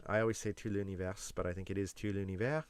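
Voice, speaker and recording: A young man from Canada talks through his reactions in a loose, chatty way, hedging and restarting as he weighs his opinions. His voice sits low and comes across smooth and resonant. The sound is close and dry, with no room around it. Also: fairly even intonation, quick pace, no room sound. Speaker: YouTube reaction host. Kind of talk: casual reaction commentary.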